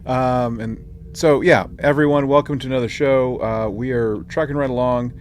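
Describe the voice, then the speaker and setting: A man's voice: medium pitch, fairly even intonation, moderate pace. A man hosting a podcast in casual chat, jokey and informal with his slang. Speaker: podcast host. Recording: clearly noisy room ambience.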